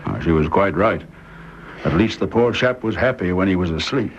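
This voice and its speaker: An actor playing a sympathetic, hearty upper-class gentleman in a period radio drama. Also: low-pitched, expressive, normal speed. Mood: concerned. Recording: dull, slightly noisy radio recording.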